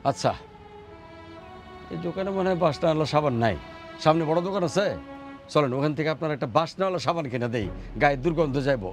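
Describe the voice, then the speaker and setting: A man's voice: fairly high pitch, quick pace, pauses often, soft background music, lightly acted. A man acting a newly rich, contemptuous father-in-law in a family drama, with a Bangladeshi accent. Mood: scornful. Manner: mocking, contemptuous, needling.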